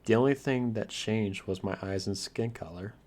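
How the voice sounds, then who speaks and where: A man playing a shy, lonely, youthful vampire lord in an ASMR roleplay, stammering earnestly with hesitant, softly formal manners. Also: on the low side, moderate pace, close to an everyday voice. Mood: shy, amused. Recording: clear background hiss and noise.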